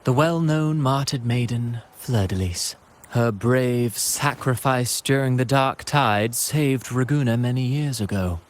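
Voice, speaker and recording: An actor playing a courtly male playwright in a video game, speaking in grandiloquent phrases with dramatic pauses. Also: mid-range voice, lightly acted. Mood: wistful, theatrical. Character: courtly, theatrical male playwright, self-styled humble. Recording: music behind the voice.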